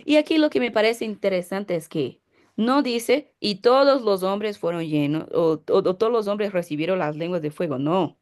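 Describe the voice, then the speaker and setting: A woman's voice: mid-range voice, moderate pace, a little mellow. A woman pastor giving a Bible teaching, explaining emphatically and answering her own rhetorical questions.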